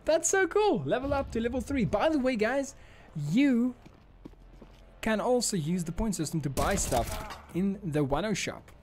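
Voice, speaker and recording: A young man with a South African accent, an Afrikaans speaker, chatting to his stream while playing, with bursts of loud exclamations. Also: sits high, lively intonation, a little slow. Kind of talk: live gameplay streaming chat. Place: home streaming room. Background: game sounds and music.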